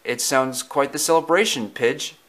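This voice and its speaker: A man's voice: mid-range voice, normal speed, a bit bright, close to an everyday voice. A man voicing an easygoing, somewhat puzzled adult male dog in a storybook reading. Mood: wry, easygoing.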